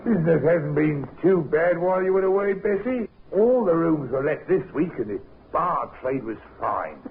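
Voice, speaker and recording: Through a muffled, slightly hissy old radio recording comes a suspicious innkeeper. His fairly high voice has a gravelly edge. He is blunt, colloquial and needling, in vintage radio drama style. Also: expressive, normal speed. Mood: genial, defensive. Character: grumbling, suspicious English innkeeper husband. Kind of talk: 1950s radio drama acting.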